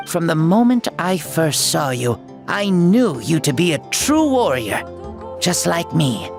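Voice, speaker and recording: An actor voicing a jovial, boastful ancient warrior hero in a game cutscene, talking in a playful, teasing way that turns grandly theatrical. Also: medium pitch. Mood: grandiose.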